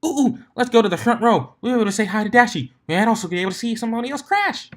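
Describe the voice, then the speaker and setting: A man voicing a hyperactive, excitable young female cartoon pony who gushes and babbles in a fan story reading. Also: very high-pitched, racing, pitched above the performer's own voice. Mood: bubbly excitement. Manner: gushing, babbling.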